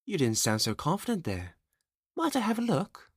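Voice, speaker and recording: A woman's voice: on the low side, wildly swooping pitch, normal speed, husky, dry recording, studio quality, heavily acted. A woman playing a refined, cautious space princess in a sci-fi roleplay, with formal, courtly speech. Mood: poised. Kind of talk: ASMR audio roleplay acting.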